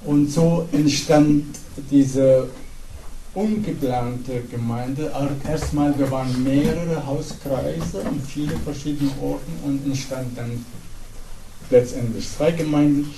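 A middle-aged man from Syria with an Arabic accent, a pastor giving an earnest, halting evening talk to a congregation. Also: medium pitch, warm tone. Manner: earnest, halting, with pauses and fillers. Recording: echoey hall, distant mic, faint noise.

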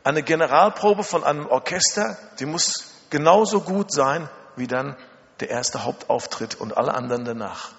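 A man's voice: fairly high pitch, moderate pace, gravelly, a bit bright. German guy preaching, dramatic storyteller, hits his points hard, dull muffled sound w/ big reverb. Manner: emphatic, dramatic storytelling with repetition and rhetorical questions. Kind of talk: sermon with personal storytelling. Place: large reverberant hall. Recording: muffled, long hall reverb.

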